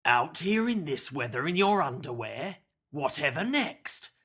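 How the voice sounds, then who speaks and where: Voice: medium pitch, sing-song, unhurried, a bit bright, breathy edge, heavily acted. A narrator voicing a menacing, skinny grey man who sneers and growls as he gloats in a children's story. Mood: bossy, threatening. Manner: sneering, growling, repeats himself in gloating tags.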